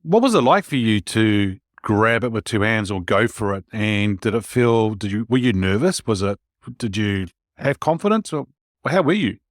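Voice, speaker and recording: A man's voice: lowish voice, animated, moderate pace, no room sound, clean studio sound. A man with an Australian accent hosting a leadership podcast, upbeat, often restating his guest's points. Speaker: podcast host. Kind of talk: interview host chat.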